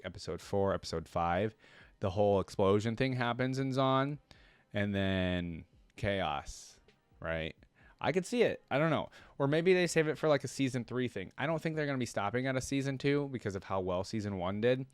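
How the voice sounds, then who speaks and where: A man hosting a reaction video, talking casually and unscripted to viewers, rambling and speculating as he thinks out loud. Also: lowish voice, clean tone, dry recording. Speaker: reaction video host, fan commentator.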